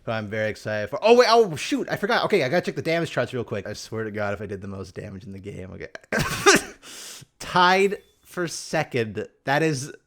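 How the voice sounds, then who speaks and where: A man's voice: mid-range voice, sing-song, normal speed, fairly bright tone. A man hosting a game review with casual, rambling gameplay commentary and sarcastic asides. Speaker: host of a game review series.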